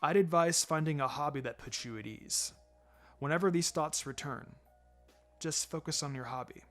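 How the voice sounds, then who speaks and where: A man voicing a laid-back adult male ninja mentor in a fan fiction reading, wry and gently sombre, speaking in a measured, low-key way. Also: faint music behind, lightly acted. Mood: gentle advice. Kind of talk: fan fiction audiobook character acting.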